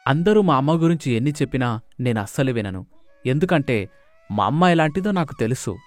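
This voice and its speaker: A man's voice: mid-range voice, lively intonation, a little fast, faint music behind, lightly acted. A man playing an earnest, firm young husband who is protective of his family in a cartoon moral story. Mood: earnest explaining.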